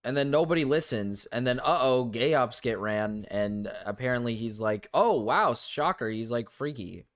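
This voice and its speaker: A young man streaming and ranting to his chat, rambling with restarts and fillers, his voice going low and cracking. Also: lively intonation, normal speed, few pauses. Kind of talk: casual livestream rant to chat.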